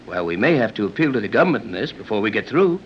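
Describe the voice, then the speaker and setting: A man playing a steady, reassuring adventurer and inventor in a 1930s radio serial. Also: on the low side, racing. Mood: steady, earnest. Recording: dull 1930s radio recording, light hiss.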